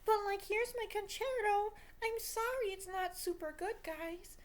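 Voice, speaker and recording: A woman's voice: extremely high pitch, unhurried, pitched above the performer's own voice. A woman mockingly voicing a whiny, apologetic, self-important young composer who stammers and pleads for praise in a video diary. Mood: mock pleading.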